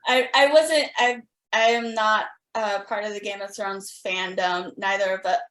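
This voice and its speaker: A woman's voice: medium pitch, fairly even intonation, unhurried, a bit bright. A woman hosting a podcast interview in casual, opinionated and emphatic conversation.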